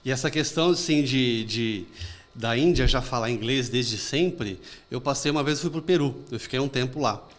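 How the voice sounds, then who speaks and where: A man hosting an interview, chatting casually and telling anecdotes. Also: medium pitch. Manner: fast, chatty storytelling with anecdotes. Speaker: podcast host.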